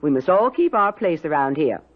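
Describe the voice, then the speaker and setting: An actress playing a stern, commanding middle-aged housekeeper aunt, clipped and authoritative yet caring, in a 1970s radio drama. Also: female voice, sits low, sing-song, moderate pace. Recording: narrow-band old radio broadcast.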